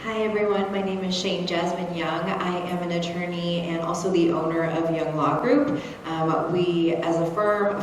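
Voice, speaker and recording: Measured woman attorney in an echoey hall. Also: fairly low pitch, slight background noise. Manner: measured, many fillers. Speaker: attorney, panelist. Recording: roomy hall sound from far off.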